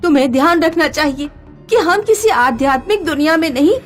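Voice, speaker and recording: Practical heroine of a magical tale woman acting: high, expressive, reproachful, music underneath. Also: fairly quick. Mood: scolding. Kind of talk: children's animated story dialogue.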